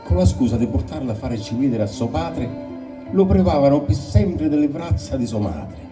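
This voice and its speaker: A man's voice: medium pitch, expressive, normal speed, distant mic, music in the background. A man with a Sicilian accent giving a solemn, declamatory recitation of a dramatic poem. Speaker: poetry reciter.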